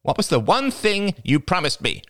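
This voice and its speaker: A woman's voice: fairly low pitch, very animated, moderate pace, no echo, clean studio sound, pitched above the performer's own voice. A man voicing an anxious young woman writer who stammers and gets defensive. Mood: shaken.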